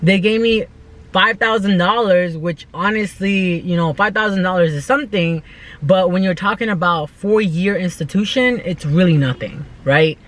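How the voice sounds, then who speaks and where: A woman's voice: lowish voice, moderate pace. A woman in her twenties from the United States talking casually and earnestly in an unscripted vlog, with informal, emphatic phrasing. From United States, born in El Salvador. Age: 20s. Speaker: vlogger.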